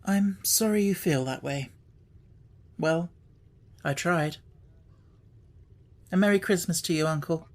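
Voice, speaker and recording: Radio play nephew, young and kindly, played by a woman, pleading sincerity, slightly low register. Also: slow pace, long pauses, darkish tone. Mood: earnest. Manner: earnest, pleading. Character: kindly, cheerful young nephew, earnest and forgiving.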